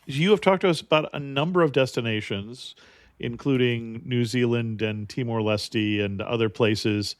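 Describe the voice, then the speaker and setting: A man's voice: medium pitch, normal speed, dry recording. Man hosting a travel podcast, dry sound. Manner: friendly, asks short questions. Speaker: podcast host. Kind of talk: casual interview.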